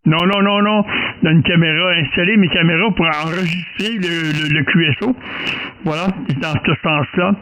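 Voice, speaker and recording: A man's voice: a little high, normal speed, sharp tone. A man with a Quebec French accent chatting casually as a radio operator, rambling and hesitant, often repeating himself. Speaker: amateur radio operator leading a group contact. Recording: clearly noisy amateur radio recording with clicks.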